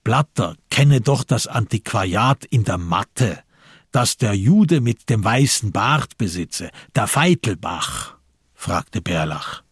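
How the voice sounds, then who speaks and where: A man narrates a crime radio play in a low, husky voice. His scripted literary delivery moves animatedly up and down, and the recording is close and dry. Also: animated, slow, dry recording.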